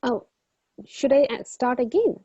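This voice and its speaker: A woman's voice: high-pitched, expressive, unhurried, warm tone, breathy edge, no room sound, dull audio. A woman acting as a doctor in a mock exam, taking a clinical history politely and hesitantly, with many fillers. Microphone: video call.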